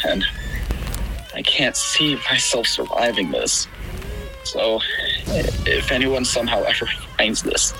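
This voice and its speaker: Doomed man played by an actor, slow halting emotional farewell, radio play, noisy tape recording. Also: medium pitch. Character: doomed male cult member recording a tender farewell.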